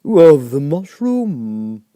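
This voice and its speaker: Man voicing a slow, cryptic storybook caterpillar. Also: a little high, sing-song, mellow, dry recording, studio quality, pitched above the performer's own voice, heavily acted. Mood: cryptic, aloof. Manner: slow, drawn-out, deliberate delivery. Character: curt, cryptic male caterpillar giving advice.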